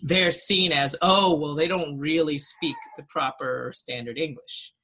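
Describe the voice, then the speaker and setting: A man's voice: fairly high pitch, steady tone, measured pace, pushed and bright, rough recording. A man on a video call in a class discussion, speaking thoughtfully with frequent fillers and restarts. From United States. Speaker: student in a linguistics discussion.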